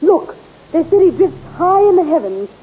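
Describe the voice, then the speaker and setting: An actress playing a frightened young heroine in an old radio serial, breathless and alarmed. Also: female voice, very high voice, sing-song, normal speed, narrow, tinny audio. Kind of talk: 1930s radio acting.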